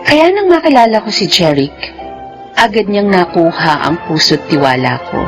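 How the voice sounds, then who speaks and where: A woman's voice: mid-range voice, big pitch swings, normal speed, music in the background. An actress playing an anxious, lovelorn young woman in an emotional, pleading way in a Filipino radio drama. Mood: wistful.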